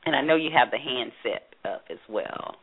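A woman's voice: a bass voice, animated, normal speed, husky. A woman hosting an interview show, chatty with many fillers, heard over the phone. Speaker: host. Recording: phone line, narrow band.

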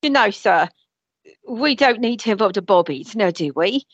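A woman playing a rough, scheming working-class London mother in a radio play. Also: mid-range voice, expressive, moderate pace, no room sound, dull audio, close to an everyday voice. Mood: wheedling.

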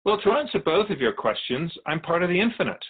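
A man playing an otherworldly, patient keeper of souls in a radio drama, speaking in measured, formal phrases with gentle questions. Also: high voice, sing-song, husky, heavily acted. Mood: patient, explaining. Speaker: guest on a radio show. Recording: thin phone-like band-limited audio.

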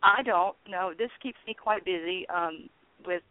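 A woman in her sixties, a guest on a radio interview, talking casually and haltingly with many ums and restarts. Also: fairly low pitch, no room sound. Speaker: guest, founder of a peace network. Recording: tinny phone line.

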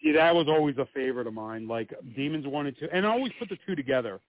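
A man co-hosting a casual podcast chat, rambling and laughing often, swearing freely, his voice going hoarse. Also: medium pitch, lively intonation, fast talker, a little hiss or hum. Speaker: co-host. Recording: tinny phone-like line, dry.

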